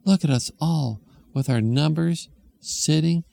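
A man's voice: fairly high pitch, a little slow, vocal fry, dark tone, pitched above the performer's own voice. A man voicing a mother who speaks in a sugary, mock-enthusiastic sing-song. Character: sugary mother, falsely cheerful about waiting.

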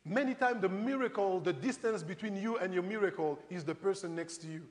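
High-voiced male preacher in a reverberant hall. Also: fairly quick. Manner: conversational, rhetorical questions, addresses congregation directly. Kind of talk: animated sermon with acted-out Bible stories. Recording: reverberant hall, long echo.

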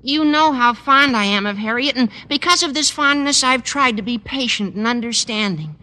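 Bright, squeaky-high eager teenage schoolboy. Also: male voice, animated, moderate pace, full character voice. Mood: earnest indignation. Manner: gushing, long-winded, indignant. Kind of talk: 1940s-50s radio comedy acting. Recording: old radio recording, reverberant, faint noise.

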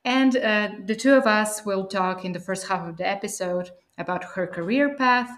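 A woman speaks as a podcast host at a middling pitch. She introduces and questions in an enthusiastic, encouraging way, in the style of a prepared interview show. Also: moderate pace, a bit bright.

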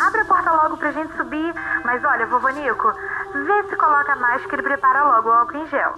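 A woman playing an excited, bossy, affectionate young granddaughter with a Brazilian accent in an audio drama. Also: high voice, brisk, dull audio, close to an everyday voice. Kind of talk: acted audio drama dialogue.

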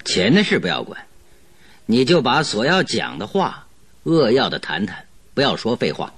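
A cool male master detective in a radio play. He is composed and blunt, his mid-pitched voice moving widely, over faint music. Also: big pitch swings, moderate pace, fairly bright tone, husky, exaggerated voice. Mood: cool, dismissive. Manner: terse, composed, dryly dismissive. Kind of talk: radio drama acting.

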